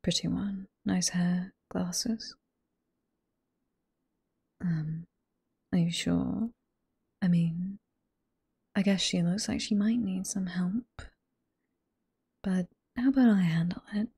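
A woman with a British accent playing a flirty, teasing, sweet head librarian boss in a whispered ASMR roleplay, soft and coy, close to the microphone. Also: lowish voice, fairly even intonation, slow, gravelly, pauses often, husky, no echo, full character voice. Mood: playful. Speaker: voice performer in roleplay.

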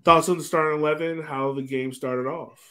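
A man's voice: fairly high pitch, steady tone, a little fast, fairly bright tone. A man in his thirties hosting a casual sports podcast chat over a video call. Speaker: podcast host. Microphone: webcam video call.

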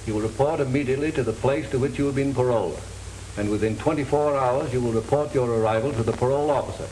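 A man in his sixties playing a formal, skeptical prison warden with measured delivery in 1930s film style. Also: medium pitch, warm tone, natural voice. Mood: official. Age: 60s. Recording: noisy 1930s film soundtrack.

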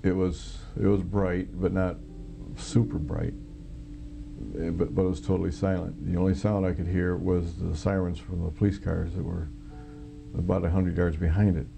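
Very deep, gravelly American man. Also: little pitch movement, normal speed. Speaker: witness, former Air Force air traffic controller. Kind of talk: recounting personal testimony in an interview. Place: small indoor room, seated on camera. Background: background music.

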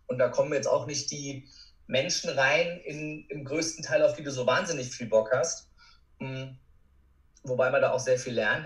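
Male coach, middling-low pitch, earnest and emphatic podcast guest, roomy echo. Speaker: interview guest, motivational speaker and coach. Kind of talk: reflective personal account in a podcast interview. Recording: roomy, echoing sound.

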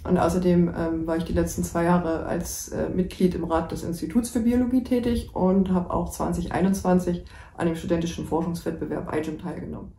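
A young woman introduces herself to camera in a dark, mellow voice on the low side. The room echoes, with faint music behind. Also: brisk, gravelly, hardly any pauses, a little hiss or hum. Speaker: master's student.

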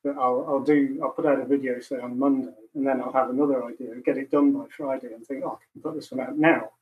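A man in his thirties with a quiet, soft voice, chatting over a video call as a guest musician and laughing often. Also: mid-range voice, steady tone, quick pace, phone-quality sound. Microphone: video call mic. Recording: distant from the mic.

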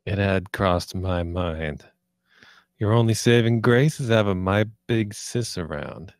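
A man's voice: low-pitched, takes it slowly, smooth, dry recording, studio quality. A man reading the part of a cocky, menacing, sarcastic young drug dealer in a screenplay table read. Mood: smug. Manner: sarcastic, foul-mouthed, taunting.

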